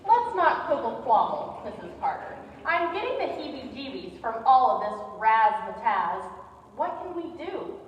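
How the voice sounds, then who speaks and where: A jittery, excitable school staffer played by a woman exclaims her stage lines. The voice is high and very lively, dulled by distance in a reverberant hall. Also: unhurried. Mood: nervous, eager. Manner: animated, exclamatory. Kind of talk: readers theater performance. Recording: muffled, distant, echoey hall.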